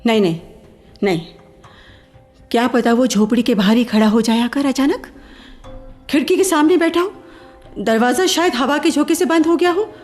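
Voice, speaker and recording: A woman's voice: sits high, normal speed, long pauses, darkish tone, faint music behind, natural voice. An actress playing a proud, hesitant young woman torn by secret longing in a theatrical Hindi radio play. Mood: proud, agitated. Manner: theatrical, swinging between urging and refusing herself.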